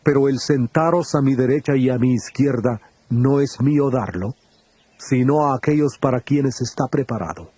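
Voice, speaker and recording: An actor playing Jesus as a calm, earnest teacher, speaking in a solemn, measured tone in a dramatized scripture recording. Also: male voice, mid-range voice, no room sound, natural voice.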